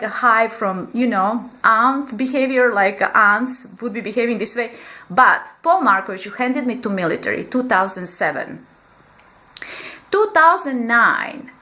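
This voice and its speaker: Woman vlogging, kinda high voice, rambly, says "like" constantly, non-native english. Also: bright, forceful tone. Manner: rambling, heavy use of "like" fillers, frequent restarts; speaks English as a non-native, with small grammar slips. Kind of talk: rambling monologue to camera.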